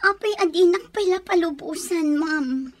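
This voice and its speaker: A woman's voice: very high-pitched, normal speed. A woman acting a meek, frightened young housemaid held captive, pleading in a radio drama. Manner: pleading, deferential.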